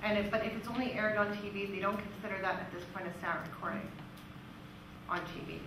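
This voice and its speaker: A woman's voice: mid-range voice, fairly even intonation, quick pace, noisy background. Female presenter, explanatory and informal, recorded far from the mic in a very echoey room. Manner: conversational, explanatory. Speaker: presenter from a royalties organisation. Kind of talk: informal question-and-answer presentation. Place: echoey room at a live meeting.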